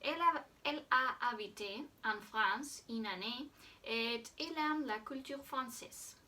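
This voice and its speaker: A woman in her thirties from Spain hosting an interview, asking simple questions slowly and carefully and murmuring soft acknowledgements. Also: fairly high pitch, recorded from a distance. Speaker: host interviewing a childhood friend. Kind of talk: scripted interview questions in learner French.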